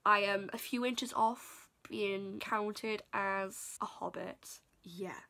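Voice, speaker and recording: Bright bubbly young Welsh woman. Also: mid-range voice, fairly even intonation, takes it slowly, vocal fry. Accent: South Wales. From Wales. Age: 20s. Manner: bubbly, chatty and self-deprecating. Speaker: vlogger. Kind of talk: casual chatty vlog. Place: small bedroom indoors. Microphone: camera at desk distance.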